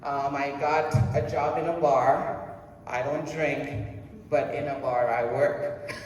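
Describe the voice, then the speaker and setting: Slow woman in an echoey hall. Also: low voice, steady tone, darkish tone, a little hiss or hum. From Jamaica. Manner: slow, deliberate, asks the audience questions. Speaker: presentation speaker. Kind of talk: personal motivational speech. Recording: echoey hall heard from a distance.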